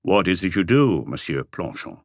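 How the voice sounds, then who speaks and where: A man voicing a calm, weary police inspector in an audiobook, putting patient, measured questions. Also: dry recording, clean studio sound. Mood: patient, questioning. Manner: measured, low-key questioning. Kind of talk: audiobook character dialogue.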